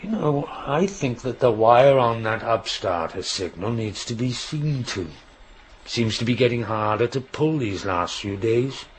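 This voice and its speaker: An actor playing a gruff, teasing middle-aged railway signalman and bossy father with a West Country accent in a radio drama. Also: medium pitch, lively intonation, unhurried, natural voice. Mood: matter-of-fact, grumbling. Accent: English West Country. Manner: gruff, chiding, colloquial working man's talk. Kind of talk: naturalistic radio drama acting. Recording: radio play with background noise and ambience.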